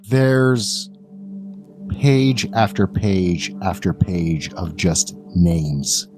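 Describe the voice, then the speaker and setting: A man improvising narration as a tabletop game master in a halting, deliberate, measured manner. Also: low-pitched, animated, a little mellow, faint music behind.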